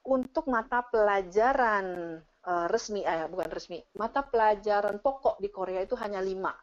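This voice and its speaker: A woman's voice: mid-range voice, normal speed. Dull-sounding call, woman explaining animatedly. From Indonesia. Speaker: teacher and speaker sharing her experience. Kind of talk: casual presentation answering audience questions. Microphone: online video call. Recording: dull, lo-fi online meeting audio.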